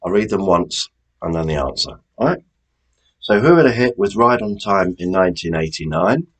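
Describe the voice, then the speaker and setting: A man hosting a quiz, reading out questions and answers in a deliberate, measured way with informal asides. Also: fairly low pitch, big pitch swings, husky. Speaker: quiz host.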